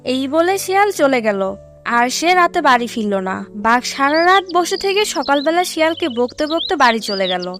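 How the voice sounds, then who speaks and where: A woman's voice: high-pitched, sing-song, moderate pace, a bit bright. A woman narrating a scripted fable for children as a storyteller. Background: music.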